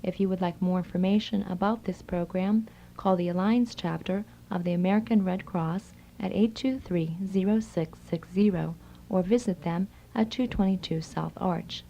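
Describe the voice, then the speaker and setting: A woman's voice: on the low side, normal speed, vocal fry, mellow, a little hiss or hum. A woman hosting a radio magazine program, reading scripted announcements and introductions. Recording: slightly dull old reel-to-reel radio tape.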